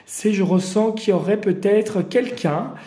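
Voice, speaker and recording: A man's voice: high-pitched, unhurried, mellow. Rambling man reading cards in an echoey room. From Paris region, France. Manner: rambling and familiar, talks straight to the viewer. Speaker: card reader hosting daily guidance videos. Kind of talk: casual improvised oracle card reading.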